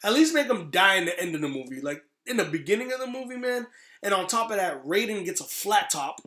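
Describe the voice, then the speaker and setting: Echoey, higher-voiced man chatting casually. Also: sing-song, brisk, sharp tone. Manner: opinionated, informal, profane. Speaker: podcast host. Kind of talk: casual chat about movies. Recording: roomy, echoey sound.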